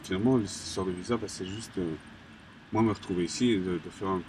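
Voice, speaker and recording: A young man from Colombia, an interviewee, talking casually in halting phrases full of restarts and fillers. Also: low-pitched, fairly even intonation. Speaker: graffiti artist, interviewee. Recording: distant mic with background noise.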